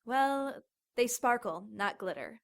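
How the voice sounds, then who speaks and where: An actress playing a chatty, casually sarcastic young motel desk clerk in an audio drama. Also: female voice, fairly high pitch, expressive, takes it slowly. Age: young adult. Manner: casual, sarcastic, then terrified.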